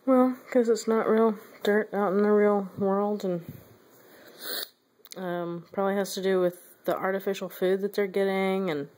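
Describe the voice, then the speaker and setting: A woman's voice: medium pitch, fairly even intonation, unhurried, darkish tone, rough recording. Woman patiently explaining at home. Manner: patient, explaining, laughs often. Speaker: mother and vlogger. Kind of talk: casual family chat. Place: home room with an ant farm. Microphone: phone camera.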